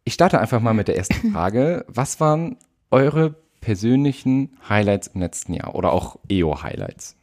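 A man of nineteen from northern Germany, hosting a relaxed podcast chat with some fillers. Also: on the low side, moderate pace. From Wesermarsch, northern Germany. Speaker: podcast host, youth association chair. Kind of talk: casual chat.

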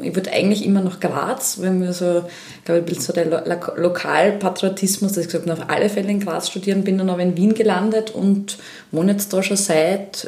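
A woman's voice: on the low side, echoey room. A woman in her thirties from Upper Styria with a Styrian Austrian accent, chatting casually as a podcast guest with many fillers. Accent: Styrian Austrian German. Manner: chatty, animated, many fillers, laughs easily. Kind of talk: casual chat.

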